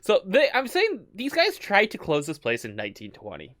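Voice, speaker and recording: A man's voice: high voice, wildly swooping pitch, normal speed, a bit bright, no room sound, studio quality. A man co-hosting a casual podcast, reading out an account, stumbling over words and laughing often. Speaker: podcast co-host. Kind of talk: casual chat, reading a story.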